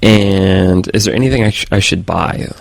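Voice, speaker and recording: A man hosting an interview, asking slow, clear questions and repeating the answers back to check them. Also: deep voice, no echo. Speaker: host interviewer.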